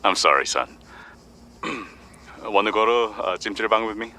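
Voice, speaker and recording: An actor playing a gentle, fatherly older man, mixing words into his English. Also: fairly low pitch, expressive, fast talker, a little hiss or hum, full character voice. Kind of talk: audio drama acting.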